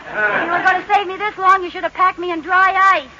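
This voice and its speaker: An actress playing a feisty, stubborn, scheming housewife in 1940s radio comedy, brash and argumentative. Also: female voice, very high-pitched, little pitch movement, a little fast, a loud crowd. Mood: indignant. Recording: muffled, noisy vintage radio recording.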